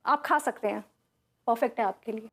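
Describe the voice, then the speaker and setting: A woman's voice: fairly high pitch, moderate pace, soft background music, lightly acted. A woman playing a snooty, condescending housewife in a broad Hindi sitcom. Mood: dismissive. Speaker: sitcom actor.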